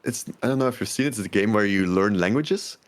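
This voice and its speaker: Low-voiced game developer guest. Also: male voice, quick pace. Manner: relaxed, laughs easily, many fillers like "like". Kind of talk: casual interview chat.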